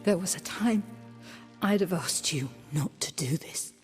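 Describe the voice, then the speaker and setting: A woman playing a proud, dying sorceress in a video game, weak and halting but dignified. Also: fairly low pitch, animated, faint music behind. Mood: weak, wry. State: weak, fading breath.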